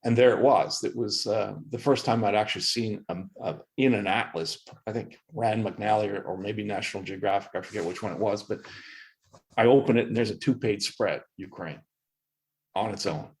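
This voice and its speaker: A man's voice: fairly low pitch, moderate pace. A middle-aged man from Canada, a professor speaking as a podcast guest, explaining history with earnest emphasis and frequent restarts. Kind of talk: interview answers.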